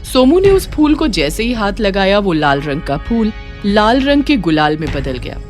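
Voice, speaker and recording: Expressive woman storyteller, animated pitch. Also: moderate pace. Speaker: narrator. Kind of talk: scripted children's story narration. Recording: faint music behind.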